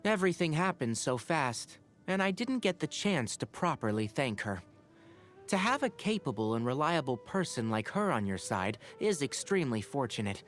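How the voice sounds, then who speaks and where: An earnest young forest ranger from a video game, with a mid-pitched voice that rises and dips expressively. He sounds scholarly and caring, with a dry, wry streak and articulate phrasing. Music plays behind him. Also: sing-song, normal speed, fairly bright tone. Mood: thoughtful. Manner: articulate, thoughtful, dryly opinionated. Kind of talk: scripted game character monologue.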